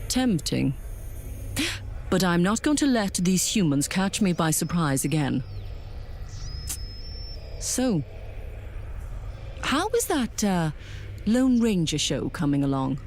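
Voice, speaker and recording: A woman as haughty general, lowish voice, wide swings, impatient, sci-fi radio play. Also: big pitch swings, slow pace, long pauses, darkish tone, slight background noise, full character voice. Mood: bored, imperious. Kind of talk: audio drama acting.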